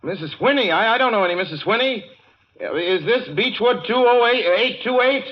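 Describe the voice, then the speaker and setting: A man's voice: high-pitched, lively intonation, fairly quick. An actor playing a haunted, frightened young man in a 1940s radio drama, dramatic and nervous in manner. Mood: anxious, flustered. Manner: brooding, dramatic, breaking into nervous stammering. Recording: muffled old radio recording with music.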